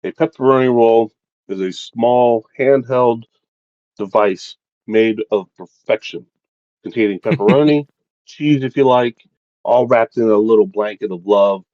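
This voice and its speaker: A man's voice: medium pitch, a little slow, dry recording. A man co-hosting a podcast, joking in a playful, mock-grand way about snacks. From West Virginia. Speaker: podcast co-host. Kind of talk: casual chat. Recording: dull, dry lo-fi mic.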